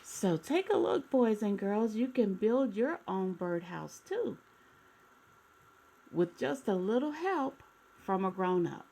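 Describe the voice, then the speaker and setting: A woman reads for young children in an expressive storytime manner. Her pace is slow and measured, with noticeable fry in a mid-pitched voice. She speaks directly to the children listening. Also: rough recording.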